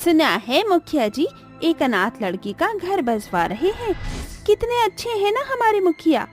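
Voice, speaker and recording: Woman playing a pushy, high-voiced village woman. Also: very animated, a little slow, faint music behind, exaggerated voice. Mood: gossipy. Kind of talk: Hindi horror cartoon acting.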